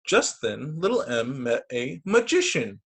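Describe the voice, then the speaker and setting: A man reading picture books aloud to children as a teacher, expressive, with brief casual asides. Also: mid-range voice, unhurried, slightly rough. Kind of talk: animated children's story reading. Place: remote video story time.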